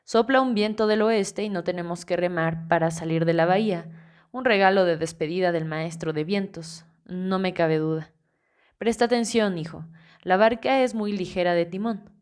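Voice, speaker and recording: A woman reading the lines of a calm, wry older male archmage, in an easygoing, gently teasing way, in an audiobook. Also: on the low side, normal speed, clear, resonant tone, natural voice.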